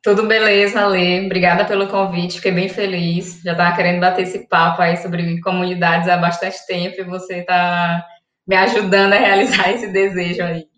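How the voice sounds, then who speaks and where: A woman's voice: fairly low pitch, level tone, normal speed, a bit bright. A woman chatting casually as a podcast guest in an echoey room. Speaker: podcast guest, community builder. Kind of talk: casual chat.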